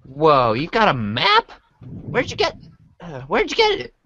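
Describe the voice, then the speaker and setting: A naive, easygoing teenage boy acted by a man speaks in a bright, swooping voice. He is hesitant, incredulous and drily sarcastic, reading a radio-play part over faint noise. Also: a little high, wildly swooping pitch, unhurried, highs cut off, exaggerated voice. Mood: curious, excited. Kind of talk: amateur reader's theater reading. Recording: faint noise behind home recording.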